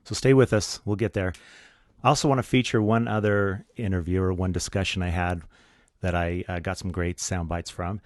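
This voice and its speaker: Deep-voiced easygoing male podcaster. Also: steady tone, normal speed, no echo, clean studio sound. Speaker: podcast co-host. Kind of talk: casual chat.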